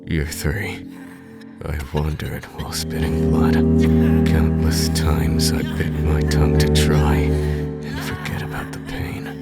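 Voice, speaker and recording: A man voicing a tormented young man in a grim anime monologue, gravelly and grave, with loud music behind him. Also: rumbling low, monotone, slow, heavily acted. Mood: grim, pained. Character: tormented burning young man, grimly narrating his endless agony.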